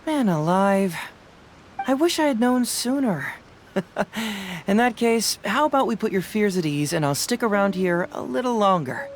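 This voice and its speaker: A breezy young detective. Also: male voice, sits high, lively intonation, a little slow, a little hiss or hum. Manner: playful, casual, self-assured. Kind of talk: video game voice acting.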